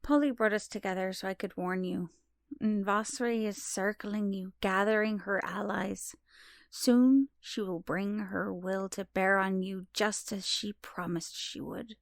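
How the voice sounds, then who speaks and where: A grave, mysterious mother, acted by a woman in a fantasy audio drama. She speaks slowly and portentously, in a breathy near whisper at middling pitch, with a dry, close sound. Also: fairly even intonation, dry recording. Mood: grave warning. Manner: slow, portentous. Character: mysterious mother, grave and urgent mystic messenger.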